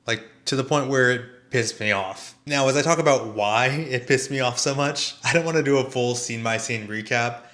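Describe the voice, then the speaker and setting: A man's voice: mid-range voice, a bit bright. A man giving a conversational scripted film review in a dry, sardonic manner. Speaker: video essayist and film reviewer.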